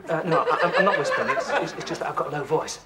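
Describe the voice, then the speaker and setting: Young man faking a doctor to woo, stammers, male actor, lively pitch, off-mic, hissy. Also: rapid-fire, far from the mic, lightly acted. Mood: nervous. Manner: stammering, nervous, hushed. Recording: hissy old TV sitcom, voice a bit off-mic.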